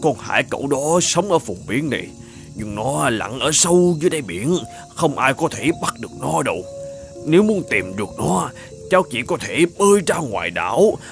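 Kindly old man, lively pitch. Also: normal speed, vocal fry, soft background music. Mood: grateful, earnest. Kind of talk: children's cartoon fairy-tale dubbing.

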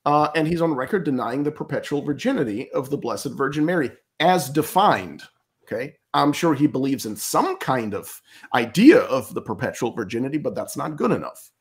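A man in his thirties, a native German speaker, talking earnestly on a video call from a home study, emphatic and halting with frequent restarts. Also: mid-range voice, big pitch swings. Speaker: guest commentator. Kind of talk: critical theological discussion on a video call.

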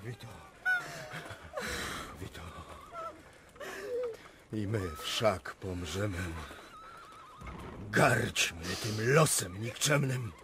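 An impassioned, slow-spoken wounded prince commander. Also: male voice, on the low side, expressive, noisy background, heavily acted. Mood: grave resolve. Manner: impassioned, declamatory. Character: proud, anguished prince and military commander, wounded in battle. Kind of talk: theatrical radio drama acting.

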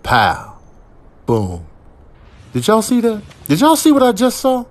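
A man does comic commentary over video clips. He is theatrical and mock-envious, stretching out words, with pitch that swings widely. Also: wildly swooping pitch, slow pace. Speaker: comedian doing voiceover reactions.

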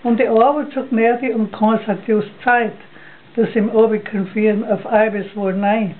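Casting: older woman, Styrian Austrian accent, fairly high voice, unhurried amateur poetry recital with halting repeats, thin muffled home recording. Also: fairly even intonation. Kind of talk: dialect poem recitation from memory. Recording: dull lo-fi home recording, noisy.

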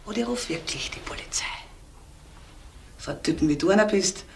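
A woman's voice: lowish voice, expressive, normal speed, gravelly, whispered. A woman playing a tough, brusque, fearless woman in a crime radio play. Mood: furious. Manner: brusque, confrontational.

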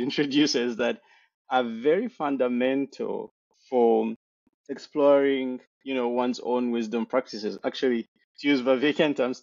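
Gravelly-voiced young man hosting a video call. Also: medium pitch, darkish tone, no room sound. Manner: friendly, hesitant with many fillers and laughs. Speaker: podcast host. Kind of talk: casual interview conversation. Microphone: headset on video call. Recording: dull, dry lo-fi call audio.

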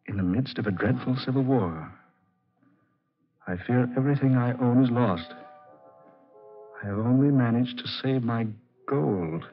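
An actor playing a tormented, weary man with a halting, intense delivery in 1940s radio style. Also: medium pitch, natural voice. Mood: reading intently. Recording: dull 1940s radio recording, music behind.

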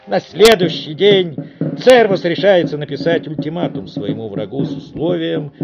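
A man with a slightly high voice narrates a story in declamatory fashion. His delivery is theatrical and dramatic. The recording is dull and lo-fi. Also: a little mellow, a little hiss or hum.

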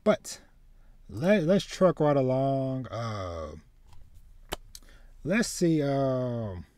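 A man in his thirties hosting a casual podcast chat, halting and repeating words as he starts his sentences. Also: medium pitch, sing-song, a little mellow. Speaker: podcast host. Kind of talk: casual chat about anime and manga. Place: small home room with shelves, video call.

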